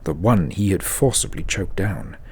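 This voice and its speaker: A man's voice: low-pitched, lively intonation, moderate pace, a little mellow, slightly rough. A man narrating a scripted fantasy audio drama as the storyteller.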